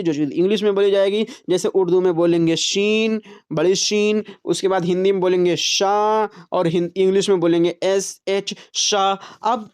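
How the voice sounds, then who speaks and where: A man's voice: high-pitched, a little mellow. A man with an Urdu-speaking Indian accent teaching an online exam-prep lecture. He repeats key terms, asks the class questions and answers them himself, and often checks in with the listeners. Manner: checks in often with "is it not?" and "all right".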